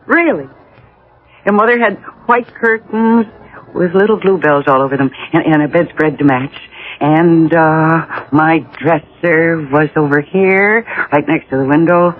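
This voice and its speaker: A woman's voice: low-pitched, unhurried. An actress playing a sweet, wistful young woman in a 1980s radio drama, dreamy and polite. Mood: dreamy, nostalgic. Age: young adult. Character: sweet, wistful young woman revisiting her childhood home. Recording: narrow-band vintage radio broadcast.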